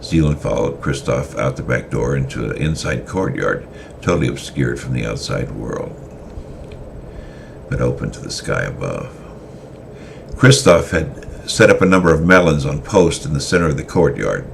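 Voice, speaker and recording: Male storyteller, semi-dramatic reading, halting delivery, very low gravelly voice. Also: animated, noisy background. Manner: halting, with fillers and restarts.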